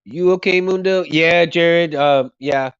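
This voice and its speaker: A man's voice: a little high, takes it slowly, clear, resonant tone, no room sound, lo-fi sound. A man hosting a casual livestream who is losing his voice, rambling and repeating himself as he thanks his viewers. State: hoarse, losing his voice, coughing. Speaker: livestream host.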